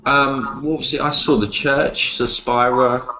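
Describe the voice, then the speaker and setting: A man chatting casually as an interview guest about what he likes, halting and repeating words as he goes. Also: mid-range voice, little pitch movement, pushed and bright, muffled recording. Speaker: interview guest, horror writer and publisher. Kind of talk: casual conversation about horror films.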